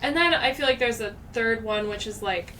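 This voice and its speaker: A woman's voice: a little high, moderate pace, bright, forceful tone. A woman hosting a podcast in casual, reflective chat, thinking aloud with many fillers. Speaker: podcast host. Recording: distant mic, background noise.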